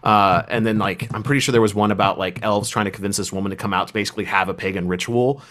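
A man's voice: on the low side, steady tone, fast, a bit bright. A man chatting casually as a podcast host, explaining things in an informal, conversational way. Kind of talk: casual chat.

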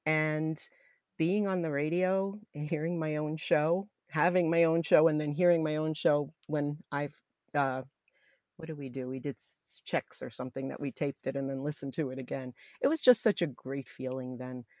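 Deep-voiced middle-aged woman, close and dry. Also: steady tone, normal speed, darkish tone, dry recording. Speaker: interviewee, former college radio jazz announcer. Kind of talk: reminiscing in an oral history interview.

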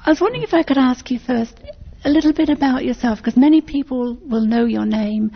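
Husky woman interviewer. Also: sits high, lively intonation, a little fast. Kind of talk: conversational interview questioning. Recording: dull, muffled lo-fi interview recording.

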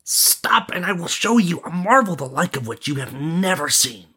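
A bold young prince from a storybook, acted out by a male narrator, lifted in pitch and very animated. He speaks in grand, old-fashioned phrases, commanding and adventurous, with a bright, forceful edge. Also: moderate pace, husky, full character voice.

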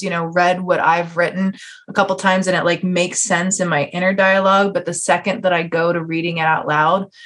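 A woman interviews a guest in a relaxed, casual way. Her voice sits at an average pitch for a woman. She is chatty and takes a winding route through her sentences, speaking into a USB podcast mic. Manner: chatty, roundabout questions, often chuckling. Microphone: Blue Yeti USB microphone.

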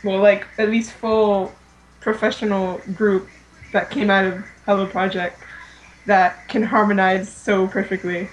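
A woman's voice: mid-range voice, flat delivery, a little slow, a little hiss or hum, rough recording. A woman co-hosting a casual fan podcast, speaking in an informal, giggly way. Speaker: podcast co-host.

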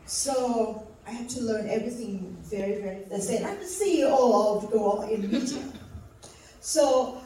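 Woman, Thai accent, personal motivational storytelling, mellow tone, distant mic with faint noise. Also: a little high, moderate pace. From Thailand. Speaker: conference speaker. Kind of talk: personal motivational talk to an audience. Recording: echoey hall from far off, faint noise.

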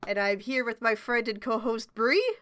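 Podcast co-host: young woman, a bit high and bright, stuffy-nosed, chatty and self-deprecating. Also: expressive, moderate pace, slight background noise. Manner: chatty, swears casually, self-mocking. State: stuffy nose from a cold. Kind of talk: casual podcast chat.

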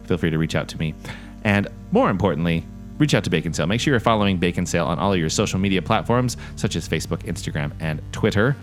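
Low-voiced man reading podcast plugs. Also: animated, fast, soft background music. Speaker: podcast co-host.

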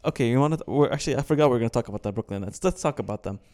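Young man, American-sounding accent, podcast co-host, opinionated sports talk, mellow and smooth. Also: mid-range voice, racing. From Kuwait. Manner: opinionated, animated when ranting. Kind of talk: casual sports chat.

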